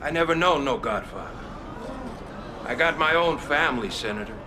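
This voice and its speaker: A man playing an evasive, gruff gangster witness. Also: a little high, lively intonation, moderate pace, noisy background, lightly acted. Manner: gruff, evasive, rough grammar. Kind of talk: video game cutscene acting.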